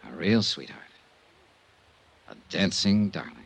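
An actor playing a wry, world-weary insurance investigator in a 1950s radio detective drama. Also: male voice, deep voice, expressive, measured pace, creaky, fairly bright tone, husky, full character voice. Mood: wistful.

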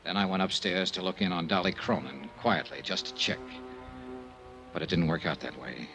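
An actor playing a tough, weary private investigator with an American accent and clipped, matter-of-fact delivery in an old radio detective drama. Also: male voice, low voice, steady tone, moderate pace, music in the background. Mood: grim, weary. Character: tough, weary male private investigator, narrating his case. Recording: noisy old radio serial recording.

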